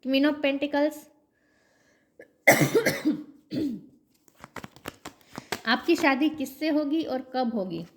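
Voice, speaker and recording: A woman's voice: high voice, expressive, fairly bright tone, slight background noise. A woman with a sore, coughing throat, giving a tarot reading to her viewers and chanting between card draws. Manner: chants a mantra between card draws, slow repeated questions. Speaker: tarot reader.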